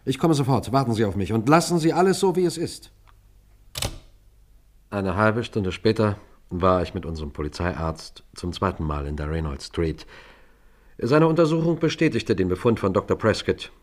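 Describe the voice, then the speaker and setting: A low-voiced police detective in a radio crime play, measured, probing. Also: male voice, animated, a little mellow. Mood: startled, brisk. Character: male police detective narrating a murder inquiry. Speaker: detective and narrator. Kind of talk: radio play acting and first-person narration.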